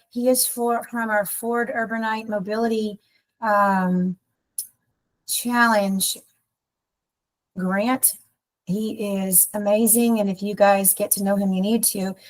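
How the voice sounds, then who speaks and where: A woman's voice: a little high, steady tone, slow pace, low-quality recording. A woman hosting an online community meeting over a video call, introducing speakers in a loose, informal way with restarts. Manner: warm, informal, rambling with restarts. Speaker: nonprofit staff host of a community webinar.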